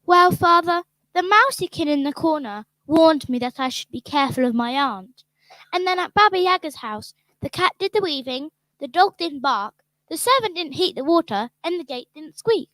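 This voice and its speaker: A woman's voice: high-pitched, normal speed, no room sound, low-quality recording. An actress playing an earnest young girl who eagerly tells of her escape in a children's radio play. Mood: excited. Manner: eager, excited storytelling.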